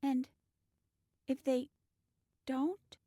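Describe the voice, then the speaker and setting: A woman's voice: sits high, fairly even intonation, extremely slow, dark tone, no echo, studio quality, exaggerated voice. An actress playing a guarded, defiant teenage girl in an audio drama, with a terse, defensive manner. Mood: worried.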